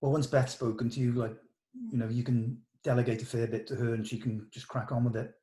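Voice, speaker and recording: A man's voice: mid-range voice, flat delivery, brisk, warm tone. A man talking casually in a production meeting as a film director, hesitantly thinking aloud.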